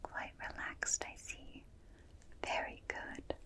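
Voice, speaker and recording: Slow whispering alien examiner, played by a woman. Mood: soothing, curious. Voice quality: soft breathy whisper. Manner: slow, soothing, repetitive, clipped detached phrasing. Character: gentle, clinical, curious alien examining a human. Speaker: ASMR performer. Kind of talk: ASMR roleplay, personal attention. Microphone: close binaural microphone.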